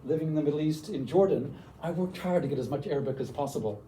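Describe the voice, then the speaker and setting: Older man from Canada, enthusiastic workshop talk, warm dark tone, light background hiss. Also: on the high side, quick pace, recorded from a distance, poor audio. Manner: enthusiastic, tags lines with "okay?". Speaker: workshop presenter.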